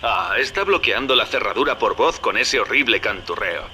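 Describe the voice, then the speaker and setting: A shrewd businessman guide, smooth and scheming, very sharp bright tone. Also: lowish voice, animated, normal speed, slight background noise. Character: Augustus Sinclair, shrewd businessman guide on radio. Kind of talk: game voice acting.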